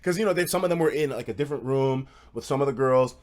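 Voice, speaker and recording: A man streaming casual, animated stories to a live chat, with slangy, profane phrasing and dramatic emphasis. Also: medium pitch, quick pace. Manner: profane, slangy, acts out quoted dialogue; dramatic emphasis and repetition for suspense.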